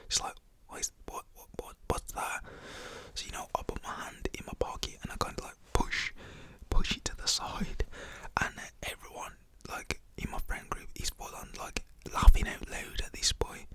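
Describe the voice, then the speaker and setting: Whispering young man. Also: normal speed. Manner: rambling, full of "like" fillers; whispers throughout. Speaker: storytelling vlogger. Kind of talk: whispered storytelling.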